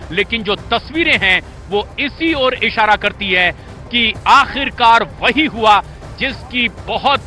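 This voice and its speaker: A man with a very high-pitched, piercingly bright voice delivers live breaking-news commentary. He is urgent and emphatic, hammering key phrases by repetition, with faint music underneath. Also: poor audio. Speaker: news anchor.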